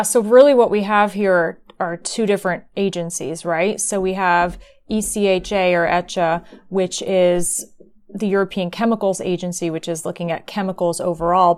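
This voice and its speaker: Woman in her thirties, podcast host, lowish voice, upbeat, organized. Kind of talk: scripted podcast intro and casual chat.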